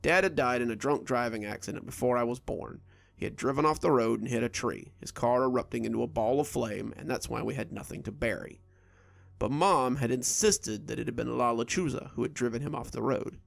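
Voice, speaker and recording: A man reading a first-person horror story aloud in a steady, low storytelling delivery. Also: brisk, creaky, no echo. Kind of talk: scripted horror narration.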